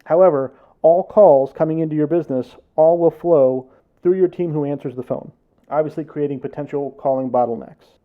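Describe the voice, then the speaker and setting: A man's voice: on the high side, moderate pace, phone-quality sound. An American man presenting a product explainer as scripted voice-over narration for a tech consultancy. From United States.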